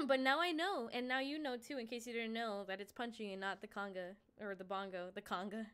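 A woman's voice: fairly high pitch, fairly quick, smooth. A young woman whose first language is Spanish, chatting casually and giggling while streaming a game, swearing now and then. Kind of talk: casual live-stream chat while gaming. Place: gaming room at home, indoors. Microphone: headset. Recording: game music loud under voice. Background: loud game music.

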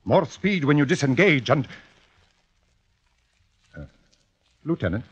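An actor playing a proud, impassioned old Italian fencing master in a dramatic, pleading 1950s radio style. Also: male voice, medium pitch, sing-song, takes it slowly, slightly rough, heavily acted. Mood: resigned. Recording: dull vintage 1950s radio recording.

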